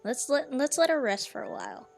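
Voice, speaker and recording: A woman streamer giving casual gameplay commentary, gushing and halting, often repeating herself. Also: sits high, huge pitch swings, gravelly, music in the background. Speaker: streamer reacting to a game.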